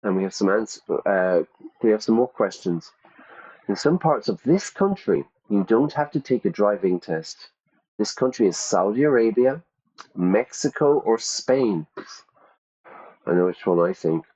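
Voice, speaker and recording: Male ESL teacher, lowish voice, unhurried, patient quiz-style delivery, dull lo-fi audio. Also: expressive, darkish tone. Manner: slow, stretched-out questions with repeated options. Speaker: English teacher.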